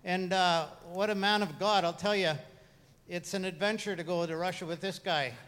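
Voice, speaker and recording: A man leading a church gathering, introducing a guest informally and haltingly, repeating his phrases as he goes. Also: sits high, steady tone, fairly bright tone, smooth. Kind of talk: informal spoken introduction to a congregation. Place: large room, church service. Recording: echoey hall with long reverb.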